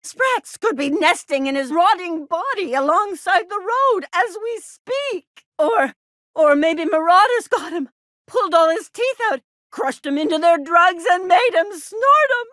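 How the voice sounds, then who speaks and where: A high-pitched, overprotective mother from a video game. She frets and fusses with melodramatic worry, slipping into cooing baby talk. The recording is close and dry. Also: expressive, unhurried, fairly bright tone, no room sound, full character voice. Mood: panicked dread. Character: overprotective, fretful, doting small-town mother, dramatic worrier. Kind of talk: video game character dialogue.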